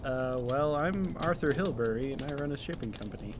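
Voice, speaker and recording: A man's voice: medium pitch, animated, brisk, natural voice. A man playing a formal, brusque and reserved businessman in an amateur radio play. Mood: guarded. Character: reserved, brusque gentleman shipping company owner. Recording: faintly noisy home recording.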